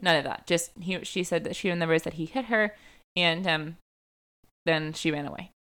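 A woman's voice: fairly low pitch, normal speed, a bit bright. A young woman hosting a true-crime podcast, telling a case in a casual chatty way, full of "like" and "um" fillers. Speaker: podcast host.

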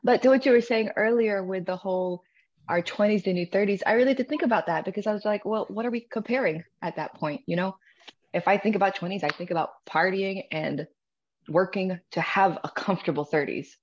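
A woman in her thirties joining a casual group chat with a wry, animated way of talking. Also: medium pitch, brisk. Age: 30s. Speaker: guest. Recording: dull lo-fi audio.

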